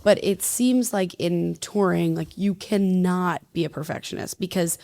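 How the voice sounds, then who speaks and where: A woman's voice: on the low side, fairly even intonation, unhurried, creaky, mellow. A woman hosting a casual interview, reacting with enthusiasm and slang as she asks questions. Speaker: interview host.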